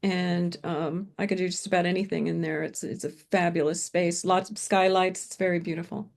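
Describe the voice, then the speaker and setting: An older woman, an author and retired professor, talking in a relaxed interview and laughing easily. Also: fairly low pitch, moderate pace, gravelly. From British upbringing, lives in California. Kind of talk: casual interview conversation.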